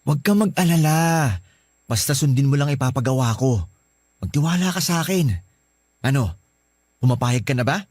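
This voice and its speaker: A man's voice: medium pitch, lively intonation, normal speed, long pauses, darkish tone, no room sound, clean studio sound, close to an everyday voice. An actor playing a lovesick man in a radio drama, coaxing and pleading with his married lover. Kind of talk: Filipino radio drama acting.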